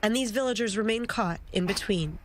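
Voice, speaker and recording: A woman's voice: medium pitch, a little fast, slight background noise. Woman reporting outdoors with faint ambience. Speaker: field reporter. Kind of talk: news report with stand-up. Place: outdoors by a village border fence.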